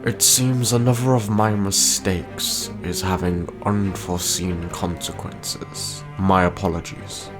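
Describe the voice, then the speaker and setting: An actor playing a solemn, weary alien cosmic guardian with a grave, formal manner. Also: male voice, deep voice, steady tone, takes it slowly, few pauses, over music.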